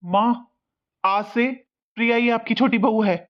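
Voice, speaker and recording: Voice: mid-range voice, steady tone, measured pace, lightly acted. An actor playing a defiant young newlywed son in a cartoon family melodrama, earnest, firm and insistent. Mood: firm, resolute. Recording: dull lo-fi sound.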